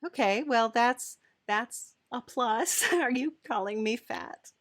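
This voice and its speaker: A woman hosting a playful, improvised comedy interview in a halting, giggly, chatty way. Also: fairly high pitch, no echo, clean studio sound. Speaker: podcast host.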